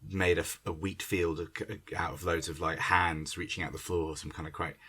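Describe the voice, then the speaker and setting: A man with a low, husky voice speaks as a director in conversation. He is unscripted and searching, circling back on words as he explains things. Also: little pitch movement, moderate pace, sharp tone. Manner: hesitant, searching, with frequent stumbles and repeated words. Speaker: theatre director. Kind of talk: unscripted conversation introducing a creative team. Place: remote internet call. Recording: online call recording.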